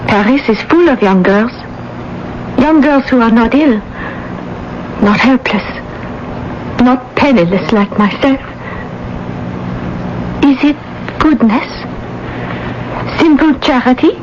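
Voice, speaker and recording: A woman's voice: high-pitched, lively intonation, slow, pauses often. A woman in a radio drama playing a poor seventeen-year-old Parisian seamstress, theatrical, plaintive and naive. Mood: earnest wondering. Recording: dull, noisy old radio broadcast.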